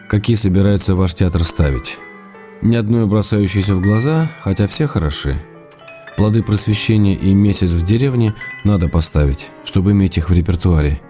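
An actor playing an affectionate, wry husband and writer, reading his letters for radio in a measured, tender, gently playful way over music. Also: sits low. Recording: studio reading with music underneath.

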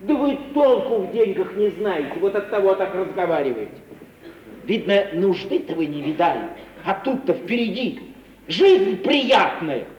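An actor playing a naive, eager young gentleman fresh from school, in 1950s Russian radio theatre style. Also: high-pitched, big pitch swings, normal speed, recorded from a distance. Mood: insistent, preachy. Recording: muffled, echoey, hissy 1950 radio recording.

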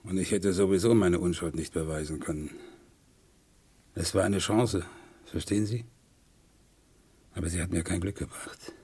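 A man's voice: low voice, normal speed, long pauses, mellow, breathy edge. An actor in a 1970s radio crime play voicing a weary, broken man who confesses with bitter, resigned repetition. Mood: hopeless. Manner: resigned, repeats himself bitterly.

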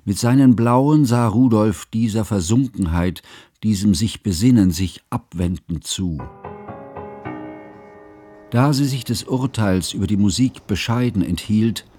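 Literary narrator, low voice, formal, deliberate, observant, radio play, music underneath. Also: male voice, warm tone, no room sound. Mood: measured, observant. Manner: formal, ornate, deliberate phrasing. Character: educated male first-person narrator, measured, observant friend.

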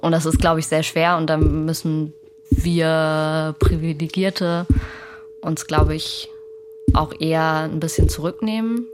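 A woman with a low voice talks reflectively, as if in an interview. She is tentative and thinks aloud while she forms her sentences. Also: fairly even intonation, unhurried, a little hiss or hum.

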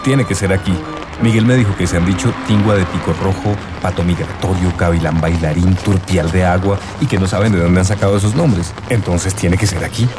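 A man's voice: sits low, brisk, over music, lightly acted. An actor playing an earnest young man in love, searching and telling his story in a radio drama.

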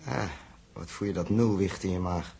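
A calm male astronaut with some authority in an early-sixties radio serial. His voice is lowish and heavily roughened, very husky, with a dry, wry humour. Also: moderate pace, exaggerated voice. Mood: brisk, wry. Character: calm, dryly humorous male spaceship crewman in charge. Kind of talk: 1960s Dutch radio drama acting.

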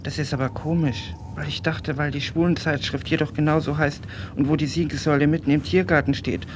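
A man's voice: steady tone, fairly quick, natural voice. Man playing a naive, rambling teenage boy, noisy recording. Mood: earnest, naive. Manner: rambling, apologetic, earnest. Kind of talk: German radio play dialogue. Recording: noisy, rumbling radio recording.

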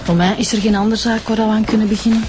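Actress as a keen Flemish policewoman, mid-pitched voice. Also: fairly even intonation, fast talker, over music, lightly acted. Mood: sympathetic. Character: keen, outspoken young female police detective. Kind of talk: naturalistic radio drama dialogue.

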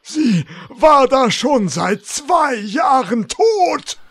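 An actor playing an outraged, pompous dark horseman villain with declamatory delivery in a comedy audio play. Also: very high voice, very animated, slightly rough, exaggerated voice. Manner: declamatory, slow.

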